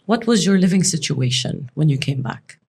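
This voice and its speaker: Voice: mid-range voice, animated, moderate pace, creaky, slightly rough. A podcast host interviewing a guest, asking questions in a conversational way and giving brief encouraging backchannels.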